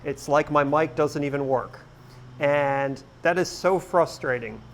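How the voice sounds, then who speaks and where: A man with a slightly high voice chats casually, vlog style. He talks to the camera as host of a boating video, and there is some outdoor noise around him. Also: a little slow. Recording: noisy outdoor background sound.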